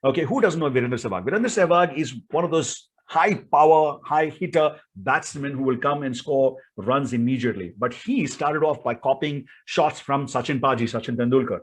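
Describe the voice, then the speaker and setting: A man's voice: mid-range voice, moderate pace, hardly any pauses, slightly rough. A man with an Indian accent giving an instructional talk as a speaking coach, in an emphatic, theatrical way full of rhetorical questions.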